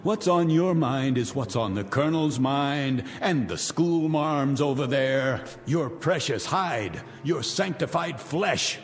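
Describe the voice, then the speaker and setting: Vindictive sophisticate, mocking, grandiloquent, widely swooping intonation, reverberant vintage TV drama with music behind. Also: male voice, mid-range voice, lively intonation, slow, hardly any pauses. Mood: scornful, mocking. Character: vindictive, sardonic rich man taunting his guests. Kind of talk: 1960s television drama acting.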